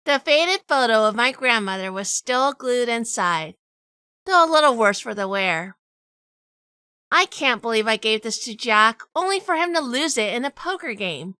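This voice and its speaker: A woman's voice: fairly high pitch, expressive, normal speed, pushed and bright, clear, resonant tone, no echo, clean studio sound. A woman playing a shrewd, probing heroine who narrates and talks in a noir audio drama. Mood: reflective, pained.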